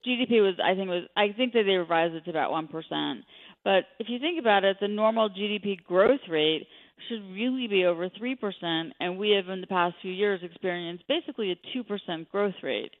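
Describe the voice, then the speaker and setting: A woman's voice: medium pitch, a little fast. A woman speaking as an expert guest in a radio interview, giving commentary on the economy. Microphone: telephone. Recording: narrow, tinny phone line.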